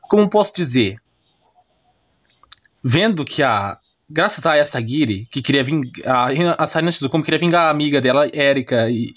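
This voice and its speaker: A man's voice: medium pitch, no room sound. A man with a Brazilian accent casually retelling an anime story off the cuff, rambling and slangy, often correcting himself. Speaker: YouTube anime recapper.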